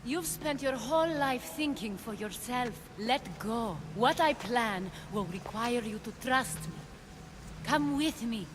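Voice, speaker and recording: Sly persuasive schemer in a game, smooth and coaxing, slightly high, ambient noise behind. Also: female voice, animated, a little slow. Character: persuasive cunning woman schemer, calm and assured. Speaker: game character. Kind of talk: video game cutscene acting.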